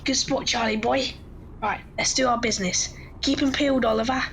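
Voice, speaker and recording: A woman's voice: a little high, fairly even intonation, a little fast, gravelly, fairly bright tone, a little hiss or hum. A woman playing a cocky, charming teenage boy pickpocket in an audio drama, breezy and swaggering. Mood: brisk, bossy.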